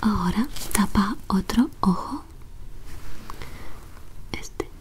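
Young woman, whispered ASMR medical exam role play, very unhurried, soft step-by-step instructions. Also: medium pitch. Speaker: ASMR performer playing a medical examiner.

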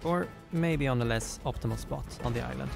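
A man's voice: medium pitch, fairly quick, creaky. A man presenting a video game as its designer in scripted promotional narration. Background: trailer music.

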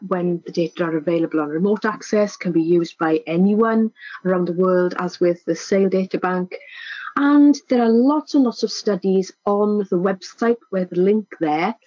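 A woman with a Welsh accent giving a measured, explanatory research presentation over slides, now and then restarting a phrase. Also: mid-range voice, darkish tone, no room sound, muffled recording.

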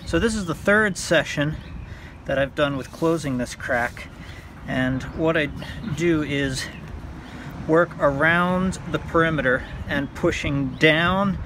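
A man talking through a hands-on repair tutorial in a halting, unscripted way, thinking aloud as he works outdoors. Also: fairly high pitch. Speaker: hobbyist demonstrating a repair. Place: outdoors by a car on a windy day. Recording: clear outdoor background noise.

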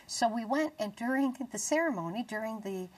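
A middle-aged American woman presenting a religious talk show in an earnest, deliberate way. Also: a little high, animated, recorded from a distance. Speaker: TV show host. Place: small dark TV studio, indoors.

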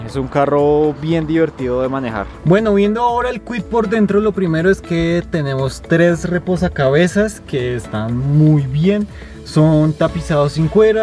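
A man's voice: fairly high pitch, darkish tone. A young adult man with a Colombian accent, giving a casual, conversational car review to camera and explaining as he goes. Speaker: car reviewer, video host. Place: inside a car and outdoors by a road.

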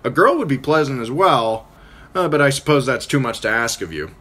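A man's voice: medium pitch, lively intonation, fairly quick, fairly bright tone, voice pushed up. A man voicing a witty, mocking dwarf lord in an audiobook reading, with an arch, theatrical manner.

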